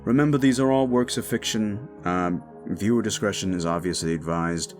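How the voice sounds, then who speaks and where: A man's voice: fairly low pitch, normal speed, soft background music. A man speaking casually as an audio roleplay creator, giving an informal outro to his listeners.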